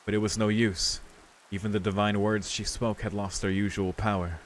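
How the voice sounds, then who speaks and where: A man's voice: lowish voice, level tone, moderate pace, a little hiss or hum. A man streaming a visual novel, reading its narration aloud with casual commentary, with rain sounds from the game behind him.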